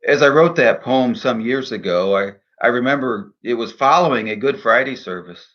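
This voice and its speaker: A man speaking in a measured, earnest, deliberate way, in the style of a devotional poetry reading and reflection. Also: medium pitch, lo-fi sound. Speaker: minister and poet reading own poem.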